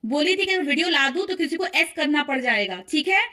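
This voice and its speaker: A woman's voice: high-pitched, animated, fairly quick, sharp tone. A woman vlogger giving accusatory commentary to viewers in an insistent, emphatic way, urging them again and again to listen. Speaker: vlogger presenting call recordings.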